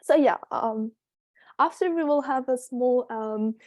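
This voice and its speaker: High-voiced teen girl, native French speaker. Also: measured pace, darkish tone, no room sound, studio quality. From Belgium. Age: teens. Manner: hesitant, many ums and uhs, laughs easily. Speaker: presenter on a virtual tour. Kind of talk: online presentation on a video call. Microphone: computer mic on a video call.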